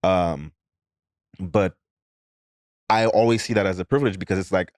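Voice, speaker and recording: A man's voice: low voice, a little mellow, no room sound, clean studio sound. A man from Saint Lucia hosting a podcast, reflecting thoughtfully in casual chat with frequent restarts. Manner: thoughtful, halting with repeated restarts. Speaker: podcast host.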